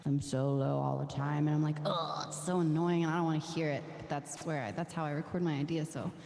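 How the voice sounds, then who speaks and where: A low-voiced woman in her thirties chats as a songwriter guest, laughing easily between thoughts. Her voice comes over a video call from a roomy space with a long, ringing reverb and a faint hiss behind it. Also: steady tone, fairly quick, creaky, a little mellow. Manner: chatty, laughs often. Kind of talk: casual chat. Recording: echoey room with long reverb.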